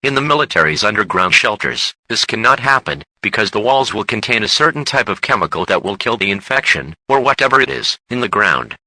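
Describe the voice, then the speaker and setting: A man's voice: on the low side, animated, brisk, few pauses, pushed and bright, dry recording, rough recording. A man reading a scripted emergency alert as a broadcast announcer.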